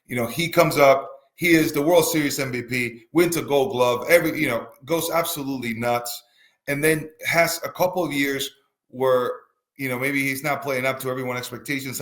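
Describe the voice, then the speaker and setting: A man's voice: mid-range voice, fairly bright tone. A man from the US Northeast co-hosting a baseball talk show, enthusiastic and full of fillers. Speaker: baseball podcast co-host. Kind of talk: casual sports chat.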